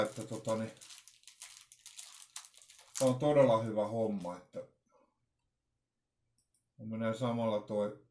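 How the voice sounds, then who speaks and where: A man's voice: mid-range voice, darkish tone. A middle-aged man giving a casual, unscripted unboxing talk in a hesitant, rambling way, often repeating his words. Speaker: vlogger presenting an unboxing. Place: small room at home, indoors. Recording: roomy, echoey home recording.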